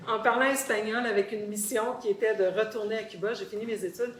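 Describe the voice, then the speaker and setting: A woman's voice: mid-range voice, fairly even intonation, fast talker. A woman with a Québécois French accent tells of her experiences conversationally, articulate and explanatory, repeating words for emphasis. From Quebec, Canada. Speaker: guest sharing personal experience. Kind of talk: conversational storytelling in a group discussion. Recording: roomy echo, faint background noise.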